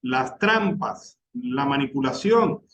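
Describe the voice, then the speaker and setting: A man's voice: mid-range voice, lively intonation, slow pace, fairly bright tone, dull audio. A Venezuelan man hosting a radio news show, giving emphatic, indignant commentary and building points through lists. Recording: distant mic with clear background noise.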